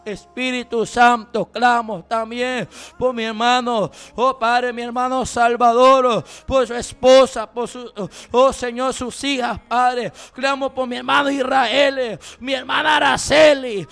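A man leading fervent intercessory prayer, shouting and pleading in repeated commands, breaking into tongues. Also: extremely high pitch, vocal fry.

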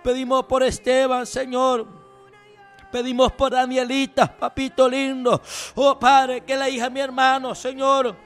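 A man's voice: very high voice, completely flat delivery, a little slow, a bit bright, faint music behind. A man leading a fervent intercessory prayer, crying out in impassioned, repetitive pleas. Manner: impassioned, repetitive pleading cries. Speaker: prayer leader.

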